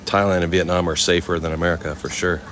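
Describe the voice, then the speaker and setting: A man from Oakland in his thirties, casually chatting with viewers while walking through a temple courtyard outdoors, easygoing and quick to laugh. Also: low voice, level tone, a bit bright, faint music behind. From Oakland, California. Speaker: vlogger answering live chat. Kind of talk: casual live-stream walk and chat.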